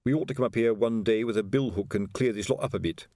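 A man's voice: lowish voice, quick pace, dark tone, dry recording, studio quality, natural voice. A man voicing a weary, authoritative veteran police sergeant in an audiobook. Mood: chatty. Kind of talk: audiobook character voice.

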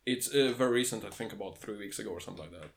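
Low-voiced man, dry and matter-of-fact. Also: very fast. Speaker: podcast co-host. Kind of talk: casual podcast chat.

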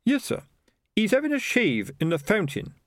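A man's voice: sits high, wildly swooping pitch, normal speed, no room sound, clean studio sound, pitched above the performer's own voice. A man voicing a deferential, literal-minded town watch sergeant with a dutiful, puzzled manner in a storybook reading.

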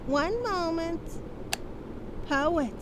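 A woman playing a brisk detective agency secretary in a 1930s radio drama. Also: very high voice, expressive, noisy background, exaggerated voice. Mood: businesslike.

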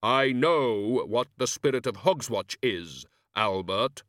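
Guy doing grim reaper type master, slow stern commanding, dry close storybook recording. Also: medium pitch, lively intonation, fairly bright tone, no echo. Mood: insistent.

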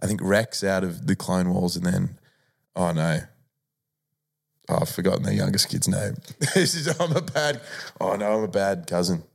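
Joking podcast co-host. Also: male voice, sits low, creaky. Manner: joking, laughs a lot, riffs quickly. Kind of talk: casual banter.

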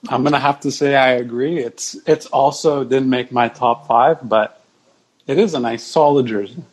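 A man chatting casually as a podcast guest, measured and explanatory. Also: mid-range voice, darkish tone, slightly rough, poor audio. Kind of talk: casual chat.

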